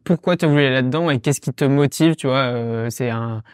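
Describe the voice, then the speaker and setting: A man hosting a podcast interview, friendly and casual, pausing often with fillers as he asks questions. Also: mid-range voice, a little mellow, smooth, dry recording, clean studio sound. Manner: friendly, hesitant, frequent "euh" fillers. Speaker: podcast host.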